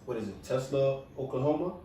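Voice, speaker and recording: Podcast guy, mid pitch, lots of room echo, sits back from the mic. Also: expressive, brisk, mellow, a little hiss or hum. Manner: asks questions, backs others with short agreements. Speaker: podcast co-host. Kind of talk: casual chat. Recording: roomy echo, far from mic.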